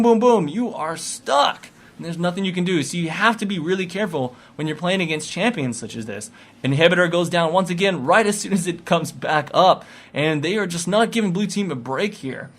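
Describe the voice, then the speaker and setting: A man giving live play-by-play commentary on a video game match, animated and rising into excited calls. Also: sits high. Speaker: esports commentator.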